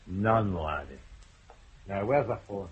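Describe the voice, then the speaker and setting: An actor playing a dry, teasing police detective in a radio drama. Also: male voice, low voice, expressive, slow, husky, exaggerated voice. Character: wry older male Scottish police detective. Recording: muffled old radio broadcast.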